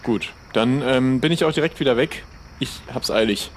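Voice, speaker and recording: A man's voice: medium pitch, normal speed, slight background noise. A man playing along in an improvised audio adventure, speaking casually in character and describing his own moves. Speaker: player in an adventure game.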